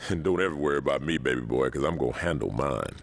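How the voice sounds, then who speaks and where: Very deep, gravelly gang kingpin. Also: male voice, full character voice. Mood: cocky, dismissive. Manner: cool, dismissive, unhurried authority. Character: proud, weathered older male gang kingpin, unflappable. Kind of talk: street-talk video game acting.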